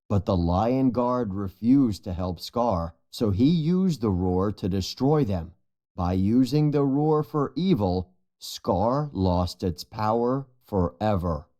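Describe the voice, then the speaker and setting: A storybook narrator voicing an authoritative adult lion king and father in a serious, measured way. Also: medium pitch, expressive, dark tone. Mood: grave.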